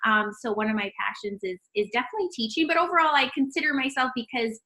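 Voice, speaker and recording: A woman speaks as a guest over an online call, with a clear, bright tone that sits a little high. She chats in a relaxed way and laughs easily between points. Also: fast. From Canada. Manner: cheerful, laughs often. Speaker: registered dietitian guest. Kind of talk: casual interview over a call.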